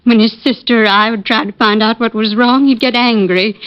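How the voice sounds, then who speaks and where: A woman's voice: a little high, steady tone, fairly quick, natural voice. Woman playing a worried older mother, old radio sound. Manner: hesitant, polite, plaintive. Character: worried older widowed mother, timid, genteel, distraught. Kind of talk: 1940s-50s radio drama acting. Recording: dull lo-fi old radio recording.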